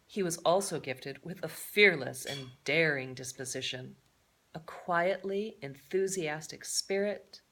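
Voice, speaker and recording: A woman's voice: sits low, a bit bright. A woman reading a children's story aloud in a theatrical way, adding chatty, playful asides. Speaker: storybook reader.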